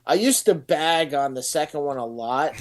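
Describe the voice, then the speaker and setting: A man co-hosting a casual toy podcast, joking and teasing his friends with loud bursts of banter. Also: fairly high pitch, lively intonation, moderate pace. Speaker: podcast co-host. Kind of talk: casual chat.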